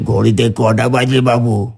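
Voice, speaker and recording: A man's voice: mid-range voice, flat delivery, measured pace, creaky, a little mellow, soft background music. A man voicing a protective, affectionate village ghost in a cartoon, earnest and cautioning. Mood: flat. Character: protective male village ghost, affectionate elder brother figure.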